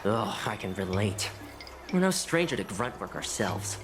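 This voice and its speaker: An actress playing a wary, weary female healer in a game, plain-spoken and expressive. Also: rumbling low, moderate pace, noisy background.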